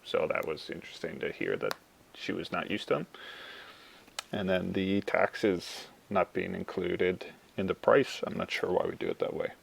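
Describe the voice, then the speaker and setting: A young man with a Canadian accent giving an informal class presentation, speaking in halting, thoughtful phrases. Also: sits low, big pitch swings, gravelly, slight background noise. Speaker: university student.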